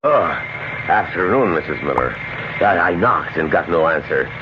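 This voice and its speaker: An actor playing a genial, weary police sergeant in a radio drama, chatting in a folksy, unhurried way. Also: male voice, extremely low pitch, very animated. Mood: friendly. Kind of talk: old-time radio drama acting. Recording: muffled, noisy old radio broadcast recording.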